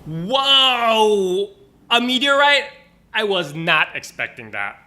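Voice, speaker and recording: A storyteller voicing a small, excitable, easily frightened young Protoceratops with exaggerated exclamations, in a children's storybook. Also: mid-range voice, slow, pitched above the performer's own voice, heavily acted. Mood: astonished.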